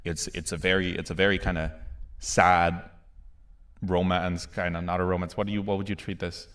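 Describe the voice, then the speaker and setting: A man's voice: sits low, flat delivery, moderate pace. A man from the Philippines hosting a karaoke livestream, chatting casually and slangily with his viewers as bros and boys. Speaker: livestream host and karaoke singer. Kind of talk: casual livestream chat between songs. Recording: music playing loudly behind the voice. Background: loud karaoke backing music.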